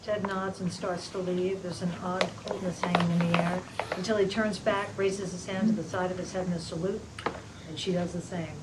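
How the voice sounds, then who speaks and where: A woman reading stage directions at a table read in a plain, measured way. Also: on the low side, fairly even intonation. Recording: distant mic, loud room noise.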